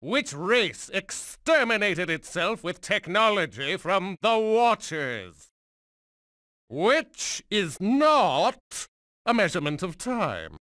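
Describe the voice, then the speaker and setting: An actor voicing a megalomaniac mutant genius supervillain in a video game, condescending, mocking and theatrical like a cruel schoolmaster. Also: male voice, high voice, very animated, takes it slowly, pushed and bright, no room sound, clean studio sound, full character voice. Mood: smug quizmaster. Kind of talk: theatrical cartoonish game voice acting.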